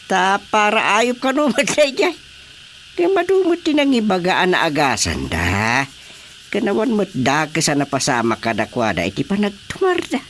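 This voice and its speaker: An actor playing an easygoing, wry and skeptical Filipino villager in a radio drama comedy. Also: male voice, sits high, huge pitch swings, normal speed, fairly bright tone, slight background noise, full character voice.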